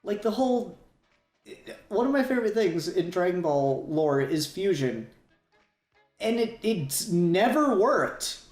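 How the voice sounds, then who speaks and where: A man's voice: high voice, big pitch swings, slow, darkish tone, faint music behind. Rambling man, echoey room. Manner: rambling, enthusiastic, swears casually. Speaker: podcast host. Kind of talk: casual fan discussion.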